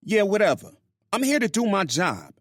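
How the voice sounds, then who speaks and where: A man voicing a young Puerto Rican woman from New York, a sharp-tongued house cleaner with a New York accent touched with Spanish, in a storybook reading. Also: high-pitched, big pitch swings, moderate pace, no echo, studio quality, pitched above the performer's own voice. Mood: griping. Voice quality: attitude-laden, sharp-tongued.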